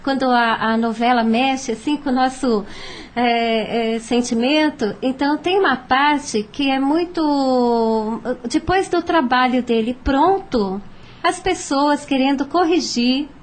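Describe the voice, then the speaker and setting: A woman with a Brazilian accent in a casual, reflective radio discussion, speaking hesitantly and pausing to find her words. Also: high voice. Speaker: panel participant on a radio programme.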